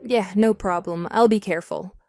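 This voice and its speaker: A woman's voice: medium pitch, normal speed, natural voice. A woman playing a loyal, easily exasperated wife in a scripted online radio drama. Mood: relieved, agreeable. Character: exasperated, loyal wife, indignant but trusting. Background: background music.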